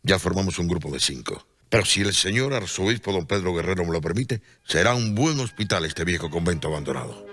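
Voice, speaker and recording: An actor playing a devout, humble friar who looks after the poor, in an earnest, solemn radio drama style. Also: male voice, low-pitched, lively intonation, fairly quick, few pauses, fairly bright tone. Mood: hopeful, reverent.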